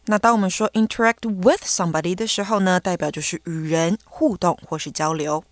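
A woman's voice: on the low side, fairly quick, no echo, studio quality. A woman whose first language is Mandarin, co-hosting an English lesson and explaining grammar. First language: Mandarin Chinese. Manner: lively explaining, switching between two languages. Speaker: English teacher co-host.

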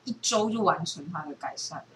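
A woman with a Taiwanese Mandarin accent chats casually as a podcast co-host, in an animated, teasing way. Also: fairly low pitch, brisk, a little hiss or hum, poor audio. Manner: animated, teasing, acts out quotes. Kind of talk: casual banter and anecdote.

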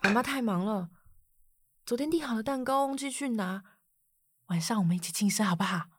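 A woman's voice: a little high, a little fast, breathy edge, no echo. A woman playing a worn-out middle-aged mother in a radio drama. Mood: gentle. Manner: pleading, rising into exasperated outbursts. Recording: loud music bed under the voice.

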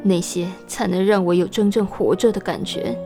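A woman's voice: mid-range voice, normal speed, over music, close to an everyday voice. Anxious young wife in a radio drama, acted by a woman. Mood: troubled confiding. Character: anxious, melancholy young 19th-century wife, stifled writer. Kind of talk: Mandarin radio drama acting.

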